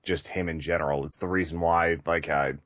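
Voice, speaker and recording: Low-voiced man, close and dry. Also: fairly even intonation, fairly quick, fairly bright tone, no echo. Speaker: podcast co-host reviewing films. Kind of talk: casual film discussion.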